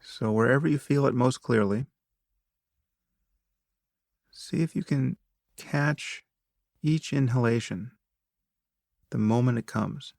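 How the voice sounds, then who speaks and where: A man narrating a guided meditation in a measured, instructive manner, speaking in short phrases with long pauses between them. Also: medium pitch, vocal fry, darkish tone, no room sound, studio quality.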